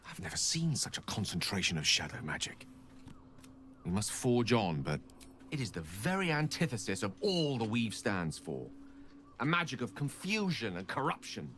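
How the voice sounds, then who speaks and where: A man's voice: medium pitch, huge pitch swings, moderate pace, a bit bright, slight background noise. A man playing a learned, courteous wizard in a video game, speaking in articulate, formal, bookish phrases. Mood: grave lecturing. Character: learned, eloquent male wizard, courteous and grave. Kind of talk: video game character dialogue.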